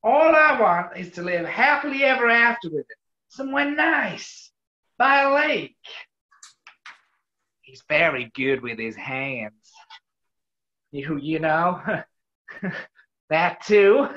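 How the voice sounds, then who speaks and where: An actress playing a brassy New York character with an American accent, brash and animated, in 1940s radio comedy style. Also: female voice, medium pitch, slow pace, long pauses, exaggerated voice. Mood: brassy, wistful. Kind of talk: 1940s radio play acting. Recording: roomy, muffled online recording.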